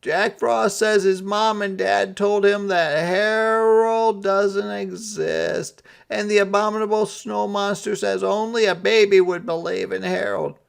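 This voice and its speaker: A man's voice: high voice, flat delivery, measured pace, vocal fry, hardly any pauses, smooth, pitched above the performer's own voice. A man voicing a stubborn, agitated old Santa Claus in an emphatic, dramatic way for a storybook read-aloud. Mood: stubborn, indignant.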